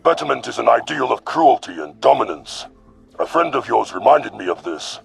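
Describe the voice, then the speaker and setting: A man voicing an arrogant, contemptuous alien predator warlord in a sci-fi audio drama, with a gravelly growl and a boastful, sneering manner. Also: mid-range voice, very animated, moderate pace, soft background music, voice pushed down, rough recording. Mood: scornful.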